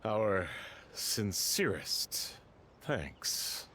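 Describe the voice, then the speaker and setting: A man playing a genteel, formal merchant in a game, speaking politely and deliberately, aggrieved and guarded. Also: fairly low pitch, big pitch swings, a bit bright, a little hiss or hum, heavily acted. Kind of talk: game character dialogue.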